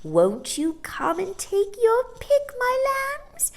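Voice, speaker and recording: A tiny old shopkeeper woman from a storybook, piercingly high and squeaky. She swoops wildly from fierce scolding to sugary cooing, stretching out her words with a cackling, bossy glee. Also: huge pitch swings, slow pace, heavily acted. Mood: sweet, coaxing. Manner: exclamatory, swinging between scolding and cooing.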